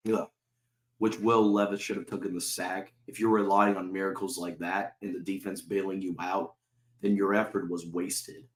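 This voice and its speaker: Brief: deep-voiced male sports-vlog host, sore throat, heated and profane, roomy echo. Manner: heated, profane, repetitive rhetorical questions. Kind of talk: ranting fan commentary to camera.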